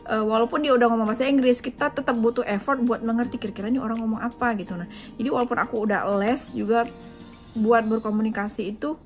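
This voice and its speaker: A young woman from Indonesia, a vlogger chatting casually at home as she answers viewer questions, with frequent fillers. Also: mid-range voice. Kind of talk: casual chat. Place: home in Japan.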